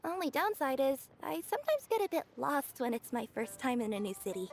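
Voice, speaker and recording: A woman's voice: sits high, sing-song, a little fast. A woman voicing a young cat-spirit courier, earnest, polite and eager to please, in a video game cutscene. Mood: friendly, chatty. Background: game music.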